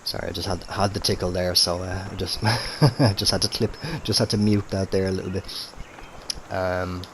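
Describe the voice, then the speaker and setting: A man's voice: low-pitched, little pitch movement, moderate pace, fairly bright tone, slight background noise. A man giving casual commentary on a game into a headset at home, his voice a little throaty from a cold, chatty and easygoing with lots of fillers. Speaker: gaming commentator. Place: home room with TV and console. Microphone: gaming headset.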